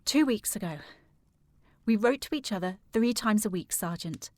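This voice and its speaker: A woman playing a determined, formal young gentlewoman worried about her sister in a period audio drama. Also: medium pitch, lively intonation, normal speed, dry recording, studio quality, close to an everyday voice. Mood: troubled, insistent. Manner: formal, precise, insistent.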